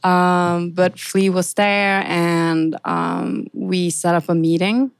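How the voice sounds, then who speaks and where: Low-voiced woman, calm dance teacher. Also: slow, gravelly, clean tone, dry recording, poor audio. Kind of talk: casual interview chat.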